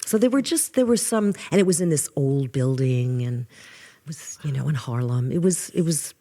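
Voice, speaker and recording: An older woman from New with a low voice, telling lively stories as a guest in a casual interview. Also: moderate pace. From New York. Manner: animated storyteller, restarts often. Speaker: guest musician.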